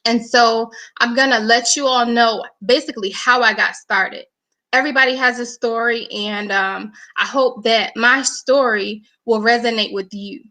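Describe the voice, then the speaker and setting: A young woman from New York, a guest on a church livestream, telling her own story. She speaks earnestly and conversationally, with plenty of fillers. Her voice is bright and a little high. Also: steady tone, moderate pace, low-quality recording. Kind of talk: personal testimony talk.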